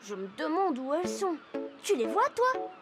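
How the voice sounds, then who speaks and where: A woman's voice: high-pitched, sing-song, normal speed. A woman playing a cheerful, curious little girl in a children's cartoon, speaking in a friendly way straight to young viewers. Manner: bright, friendly, addresses young viewers directly. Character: Jasmin, cheerful curious little girl who travels to animals. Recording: music behind the voice.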